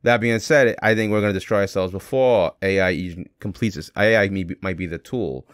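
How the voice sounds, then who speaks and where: A male podcast guest talks quickly in a slightly low voice with a dry, close sound. He argues casually, in a blunt, skeptical way. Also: smooth, dry recording. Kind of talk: casual debate.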